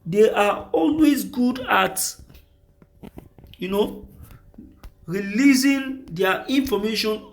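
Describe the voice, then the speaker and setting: A man's voice: sits high, takes it slowly, long pauses. A man with a Nigerian accent reading out news and giving impassioned commentary, repeating phrases for emphasis.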